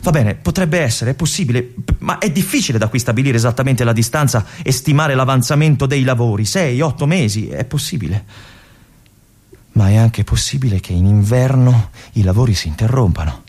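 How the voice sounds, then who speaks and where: An actor playing a lonely, obsessive army lieutenant in a stage monologue, musing aloud and swinging between brooding and feverish. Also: male voice, medium pitch, expressive, fairly quick, a little mellow, lightly acted. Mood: calculating. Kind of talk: theatrical monologue for radio.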